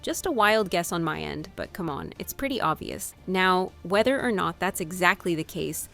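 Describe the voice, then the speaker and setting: A woman's voice: fairly low pitch, sing-song, normal speed, gravelly, a bit bright, soft background music. A woman narrating a scripted commentary in a sarcastic, mocking manner.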